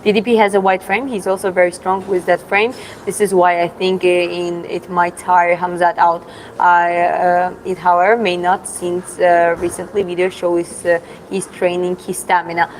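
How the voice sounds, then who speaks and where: A woman's voice: on the low side, little pitch movement, normal speed, a little hiss or hum, rough recording. A young woman from Georgia with a Georgian accent, chatting on a livestream, answering comments with many fillers and restarts. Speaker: livestream host. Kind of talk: casual livestream chat reading comments.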